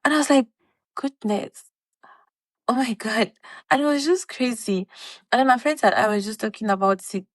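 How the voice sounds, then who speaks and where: A woman's voice: a little high, normal speed, long pauses, no echo. A woman in her twenties from Cameroon, co-hosting a podcast and telling stories in casual chat, acting out the people she quotes. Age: 20s. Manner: animated storyteller, acts out quoted dialogue. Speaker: podcast co-host.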